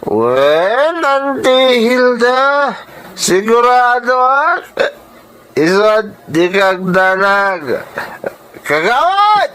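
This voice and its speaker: A man with an Ilocano accent playing a tipsy, insistent nephew with slurred, drawn-out pleading in a radio drama. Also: very high voice, big pitch swings, pushed and bright, smooth, heavily acted. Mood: drunken, pushy. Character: tipsy, insistent nephew selling his land.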